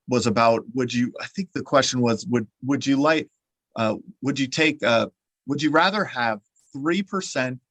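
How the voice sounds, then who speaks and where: A man hosts a podcast conversation, rambling along and circling back over his words. His voice sits at an average pitch, and the sound is dry and close with no room echo. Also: fairly bright tone. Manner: rambling and repeats his words, many "uh" restarts. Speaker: podcast host. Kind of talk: conversational interview.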